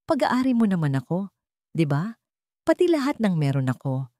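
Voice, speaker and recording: A woman's voice: fairly low pitch, very animated, normal speed, warm tone, no echo, clean studio sound. A woman voicing a wronged wife who feigns meek, defeated submission in an audio story. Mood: lifeless, submissive.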